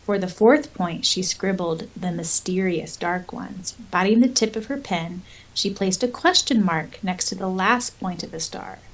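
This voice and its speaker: A woman's voice: fairly low pitch, measured pace, hardly any pauses, low-quality recording. A woman co-hosting a podcast, discussing a story casually and reading passages from it aloud. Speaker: podcast co-host and audiobook narrator.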